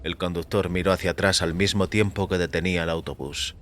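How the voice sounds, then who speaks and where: A man reading scripted first-person fiction as narrator, in a measured, composed manner. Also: deep voice, a bit bright, slight background noise. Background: music.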